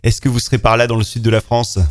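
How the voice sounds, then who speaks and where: A male interview host speaks very quickly in a medium-pitched voice. His delivery is friendly and presenter-like as he welcomes and questions a guest. There is light music underneath. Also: monotone. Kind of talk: friendly radio-style interview hosting.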